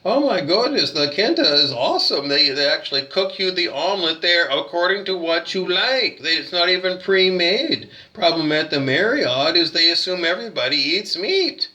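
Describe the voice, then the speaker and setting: A man's voice: sits high, sing-song, normal speed, few pauses, pushed and bright. Rambling, cursing Canadian man ranting. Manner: profane, meandering, frequent restarts and fillers. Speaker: hotel guest complaining. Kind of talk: rambling monologue rant.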